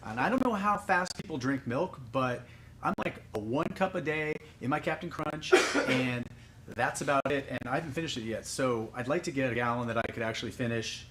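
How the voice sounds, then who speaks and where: A man's voice: medium pitch, fairly quick, background noise, close to an everyday voice. A man playing a rambling, oblivious shopper in a live improv sketch, hesitant and full of fillers.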